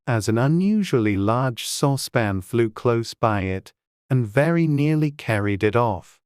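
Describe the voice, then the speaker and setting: A man's voice: medium pitch, animated, unhurried, darkish tone, clear, resonant tone, no echo, clean studio sound. A man reading scripted storybook narration as an audiobook narrator.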